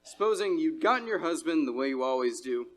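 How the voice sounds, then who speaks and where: A man's voice: a little high, lively intonation, brisk, lightly acted. A man playing a world-weary, patronizing city police sergeant in a 1930s-style live radio drama. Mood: patronizing, matter-of-fact. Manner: matter-of-fact, patronizing, brusque.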